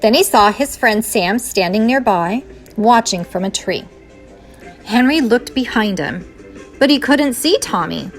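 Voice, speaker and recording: A woman reads a children's story aloud like a teacher. Her voice is fairly high and very varied in melody, with faint music behind it. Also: expressive, measured pace.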